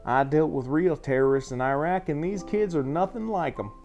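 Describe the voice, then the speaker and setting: A man's voice: a little high, moderate pace, a little mellow, highs cut off, natural voice. A man voicing a weary, blunt but loving sheriff father in an audiobook story, over a music bed. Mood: firm.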